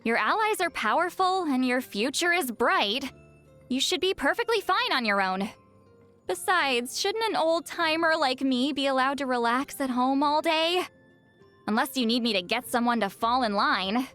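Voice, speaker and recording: A woman voicing a video game seer, youthful-sounding, proud but easily flustered and secretly awkward, in an expressive, haughty and defensive manner. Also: high voice, moderate pace, faint music behind. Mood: earnest, reserved. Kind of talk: video game character voice lines.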